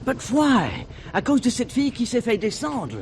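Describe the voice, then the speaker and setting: An actor playing an excitable, courteous foreign gentleman in a stage play, flustered and hesitant. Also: extremely high pitch, normal speed, exaggerated voice. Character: excitable, polite foreign gentleman searching for women. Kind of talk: filmed stage play acting. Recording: hissy 1970s theatre recording.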